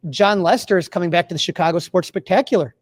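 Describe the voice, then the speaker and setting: A man with a distinctly high voice and an American accent talks sports casually. He rambles and circles back over his phrases, recorded close and dry. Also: fast talker, dry recording. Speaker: sports podcast host.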